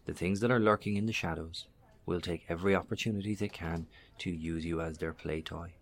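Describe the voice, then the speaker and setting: A man's voice: low-pitched, steady tone, a little fast. A man playing a grave professor warning survivors in a horror audio drama, formal and imploring.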